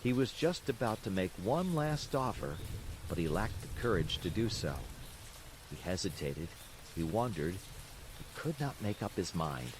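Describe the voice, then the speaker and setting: A man reading a bedtime story as an expressive storyteller, in scripted narration. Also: lowish voice, measured pace, noisy background. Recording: steady rain sounds behind the voice. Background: rain.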